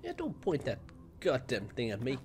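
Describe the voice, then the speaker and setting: A man in his thirties with a slightly raspy voice, talking on a headset mic as he plays a horror game and teases the characters. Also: medium pitch, huge pitch swings, moderate pace, darkish tone, a little hiss or hum. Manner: joking, teasing game characters. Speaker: gameplay streamer. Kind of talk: casual let's-play commentary.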